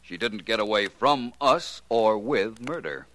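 An actor playing a shrewd, self-assured private detective in a theatrical, deliberate 1940s radio drama style. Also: male voice, on the low side, animated, fairly bright tone. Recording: noisy old 1940s radio recording.

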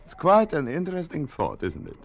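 An actor playing a courteous, formal colonial plantation host in an old radio drama, with polite, hesitant pauses. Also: male voice, fairly high pitch, wildly swooping pitch, creaky, slight background noise, exaggerated voice. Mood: suavely polite. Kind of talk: 1940s-50s radio drama acting. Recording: muffled old radio recording.

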